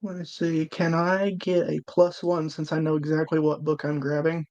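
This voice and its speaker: A woman's voice: sits low, flat delivery, moderate pace, a little mellow. A woman chatting casually as a player in a tabletop role-playing game, describing moves to the group. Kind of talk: casual chat.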